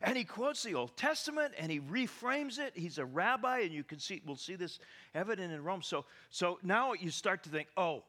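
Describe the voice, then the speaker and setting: A man preaching a sermon, animated and full of stammering restarts and rhetorical questions to the congregation. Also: high voice, moderate pace. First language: English.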